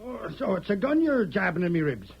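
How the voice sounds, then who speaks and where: A man's voice: high-pitched, very animated, slight background noise. A man playing a defiant Irish railroad engineer in an old radio western, combative and blustering. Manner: combative, blustering, quick to talk back. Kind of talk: 1940s radio western acting. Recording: muffled old radio drama recording.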